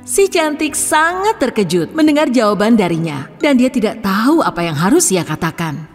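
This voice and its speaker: A woman's voice: a little high, sing-song, normal speed, big-room sound, soft background music. A woman narrating a scripted fairy tale for children as storyteller.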